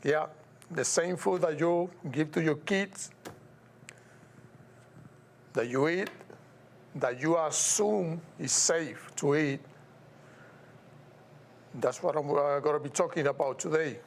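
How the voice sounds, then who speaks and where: A man from Puerto Rico with a Puerto Rican accent, hosting his own show in a measured, emphatic way, now and then repeating words or stumbling over them. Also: fairly high pitch, gravelly, a little hiss or hum. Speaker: show host. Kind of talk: slow, deliberate solo commentary.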